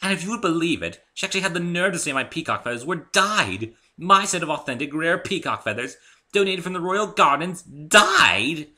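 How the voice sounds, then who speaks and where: A refined lady unicorn, acted out by a male reader gushes and gossips in a story. The voice is pitched up, swooping and bright, with posh, dramatic airs and lingering emphasis on choice words. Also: lively intonation, moderate pace. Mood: indignant. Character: refined, theatrical female unicorn fashion designer, gushing and gossipy.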